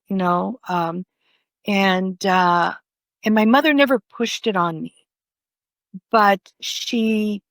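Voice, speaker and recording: A woman's voice: mid-range voice, fairly bright tone, no echo. An older woman whose first language is Greek, talking as a podcast guest in a rambling way full of "you know" fillers. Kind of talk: conversational interview.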